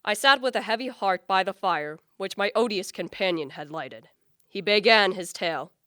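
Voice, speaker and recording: A woman's voice: mid-range voice, expressive, normal speed, sharp tone, no room sound, clean studio sound. A woman acting a haughty, guilt-ridden male scientist in a radio play, with formal, declamatory literary speech. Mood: heavy-hearted. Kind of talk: dramatic radio play acting with first-person narration.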